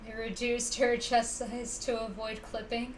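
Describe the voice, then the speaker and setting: Unhurried woman streamer, fairly high voice. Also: level tone. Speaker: live game streamer. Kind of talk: casual livestream chat. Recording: distant mic, faint background noise.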